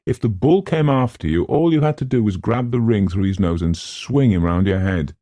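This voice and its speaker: Guy doing a breezy teasing young woman in an audiobook, pitched up, dry sound. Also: lively intonation, normal speed, dark tone, no echo.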